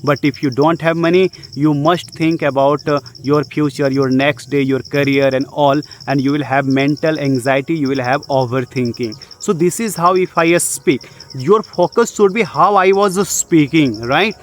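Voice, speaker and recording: A man's voice: fairly high pitch, normal speed. A young man with an Indian accent gives a casual spoken English lesson, earnestly repeating his points and often checking in with "okay?" between filler sounds. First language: Jharkhandi language. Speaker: English teacher.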